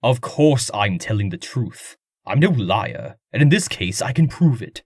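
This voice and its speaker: A wealthy, pompous comic-dub aristocrat voiced by a man. His speech is formal and haughty, with highly animated intonation. The recording is very dry. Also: measured pace, breathy edge, no room sound, heavily acted.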